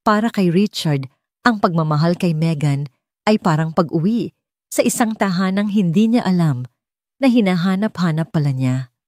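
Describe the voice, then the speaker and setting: A Filipino woman narrates a romance audiobook in a lowish voice. The recording is dry and close, with a scripted storytelling delivery. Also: a little mellow, no room sound.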